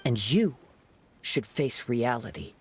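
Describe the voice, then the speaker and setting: An actor playing a calm, perceptive young wandering samurai in a video game, with measured, formal, gently firm speech. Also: male voice, mid-range voice, a little hiss or hum. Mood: firm, challenging.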